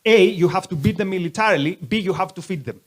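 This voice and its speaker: A man's voice: high-pitched, fast talker. Man lecturing an audience. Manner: conversational, uses many "like" asides. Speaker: lecturer leading a discussion. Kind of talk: interactive lecture with audience questions. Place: museum hall with live audience.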